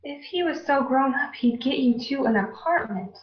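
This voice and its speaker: A woman's voice: sits high, moderate pace, lightly acted. A woman acting a nagging, indignant middle-aged mother in a radio play, scolding and fretting in pointed questions. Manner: scolding, fretful, rhetorical questioning. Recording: roomy, dull home recording.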